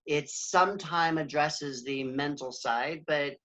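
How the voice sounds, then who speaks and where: A man's voice: a little high, slow, sharp tone. A man hosting a wellness podcast, upbeat and enthusiastic in a casual interview. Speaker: podcast host. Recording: slightly dull lo-fi sound.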